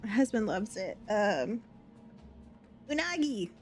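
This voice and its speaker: A woman livestreamer talks in a casual, unscripted way as she plays. Her voice sits at an average pitch, and game music runs clearly behind it. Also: sing-song, slow pace. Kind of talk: casual chat while gaming.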